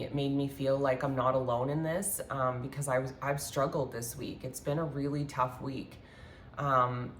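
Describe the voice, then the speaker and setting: Woman with a very low voice, congested and slightly hoarse, rambling spiritual talk, echoey room. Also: a little hiss or hum. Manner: rambling, earnest, many fillers. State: congested, losing her voice. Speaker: spiritual card reader. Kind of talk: casual spiritual talk to viewers. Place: home room with altar. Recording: echoey room, faint ambience.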